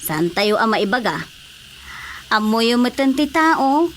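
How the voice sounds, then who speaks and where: Lady doing village councilor, highish voice, ilocano accent, worried n pushy, radio drama, slight noise. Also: sing-song, measured pace. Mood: worried, insistent. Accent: Ilocano Filipino. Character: concerned, persuasive female village councilor. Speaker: voice actor. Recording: faint background noise.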